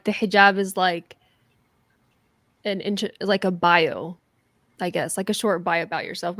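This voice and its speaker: A woman's voice: mid-range voice, fairly bright tone, no echo. A woman with a Southern American accent answers podcast questions in a thoughtful way, hunting for the right words. She is recorded dry and close. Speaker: podcast guest. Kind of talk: casual interview chat.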